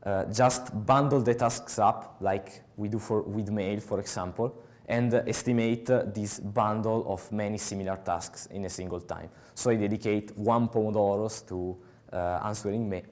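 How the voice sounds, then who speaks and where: Young italian guy presenting at a conference, lots of uhs, lowish voice, headset mic. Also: a little mellow. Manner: halting, many filler uhs. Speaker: conference speaker. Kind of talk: technical conference talk. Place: large conference hall with audience.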